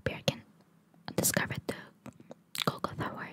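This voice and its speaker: A woman hosting ASMR, reading trivia in a breathy whisper with chatty, informal, opinionated asides. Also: normal speed, a bit bright. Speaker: ASMR host.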